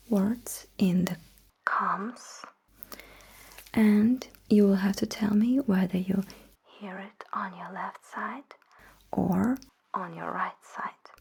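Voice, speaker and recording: A woman playing a calm, courteous alien battlesuit technician in a sci-fi ASMR roleplay, giving soft, encouraging instructions in a breathy whisper. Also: medium pitch, exaggerated voice, rough recording.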